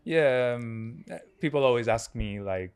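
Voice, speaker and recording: A man in his thirties with a Ghanaian accent, a startup founder chatting as a guest, thoughtful and pausing with fillers and restarts. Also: medium pitch, expressive, clean tone. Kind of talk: casual interview chat.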